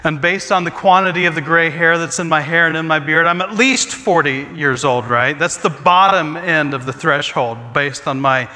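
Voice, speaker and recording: A man's voice: on the high side, normal speed, hardly any pauses, pushed and bright. A man in his forties, a pastor, giving a joking, self-deprecating sermon with chatty asides. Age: 40s. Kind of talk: humorous sermon talk. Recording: long reverb in a large hall.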